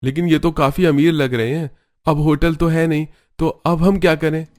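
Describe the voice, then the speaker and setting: Guy doing village husband from a cartoon story, good natured, earnest n simple, slightly high voice. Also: fairly even intonation, moderate pace, a little mellow, natural voice. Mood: puzzled.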